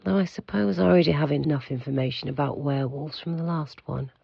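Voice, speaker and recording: An actor playing the stern, secretive head of a mysterious institute in an audio drama, speaking formally and with control in a gravelly voice. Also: male voice, fairly high pitch, fast talker, dry recording, lo-fi sound, exaggerated voice. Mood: cool, formal.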